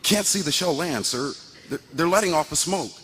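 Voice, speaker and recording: A nervous artillery lookout in a radio play, somewhat high in pitch. He stammers out anxious, deferential reports in a reverberant space with faint noise behind. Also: lively intonation, normal speed, fairly bright tone. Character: nervous young male artillery observer reporting to officer. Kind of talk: radio drama acting.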